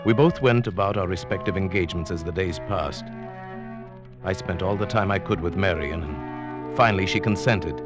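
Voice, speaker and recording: An actor playing a meek, henpecked older husband who narrates his secret plot, mild, hesitant and deliberate, in old-time radio suspense style. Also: low-pitched, over loud music, lightly acted. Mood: confiding, scheming.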